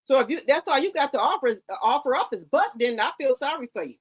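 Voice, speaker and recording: A woman's voice: sits high, lively intonation, fast talker. A middle-aged woman hosting casual commentary, blunt and lecturing, mixing slang into her talk. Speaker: commentary host.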